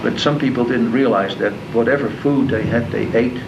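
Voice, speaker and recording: Older Dutch-accented man telling memories. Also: mid-range voice. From Netherlands. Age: 70s. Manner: plain, measured storytelling with small restarts. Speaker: guest speaker. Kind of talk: recounting wartime memories to students. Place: school classroom. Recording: distant, dull lo-fi tape with clear noise.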